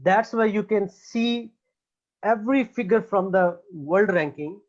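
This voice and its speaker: A man's voice: sits high, a little slow. A man with a Pakistani accent, a native Urdu speaker, hosting an informal promotional talk for students in an effusive, repetitive sales manner. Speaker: education consultancy host. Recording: slightly dull, lo-fi sound.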